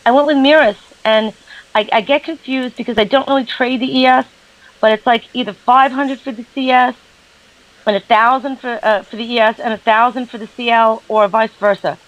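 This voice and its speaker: A woman's voice: on the high side, steady tone, moderate pace, lo-fi sound. A woman with a New York accent, a guest trader chatting casually on a call, recorded through her laptop's built-in mic.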